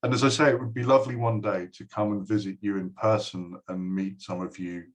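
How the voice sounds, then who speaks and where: A man's voice: on the low side, steady tone, a little fast. A middle-aged man giving a recorded address to a school, talking hesitantly with many ums and restarts. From United Kingdom. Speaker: guest speaker addressing a school.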